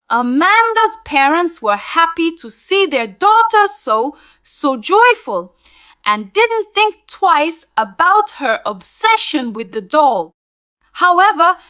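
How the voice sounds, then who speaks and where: A woman narrating a spooky scripted tale in a dramatic, deliberate storytelling manner. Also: extremely high pitch, sharp tone, dry recording.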